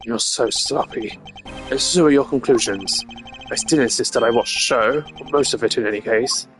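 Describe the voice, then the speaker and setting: A man's voice: medium pitch, moderate pace, music in the background, close to an everyday voice. Man playing a smug, pompous old ambassador. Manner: pompous, condescending.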